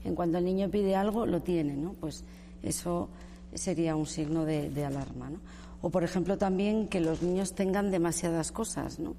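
A woman speaks as a panel guest in a measured way, scattering fillers and tag questions through her points. Her voice is low, dark and gravelly. The room adds a long reverb over faint background noise. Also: fairly even intonation. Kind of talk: discussion panel.

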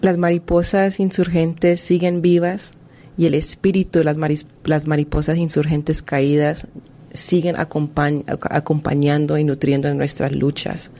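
A Colombian-born woman, a historian and author, answering interview questions in an earnest, measured way with frequent restarts. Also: low-pitched, level tone, warm tone.

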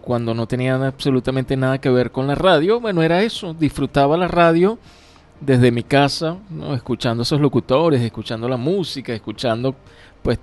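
A young Venezuelan man, a radio producer, chatting in a relaxed, rambling way on a live radio interview. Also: mid-range voice. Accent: Venezuelan Spanish. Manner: relaxed, rambling, many fillers and laughs.

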